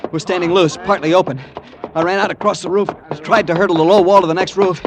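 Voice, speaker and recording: A man's voice: high voice, steady tone, quick pace, background noise. An actor playing a desperate, earnest young American man, narrating and pleading in 1940s radio suspense style. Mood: urgent. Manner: earnest, nervy, dramatic. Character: anxious, desperate young man hunting his missing fiancee. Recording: vintage radio drama, music behind.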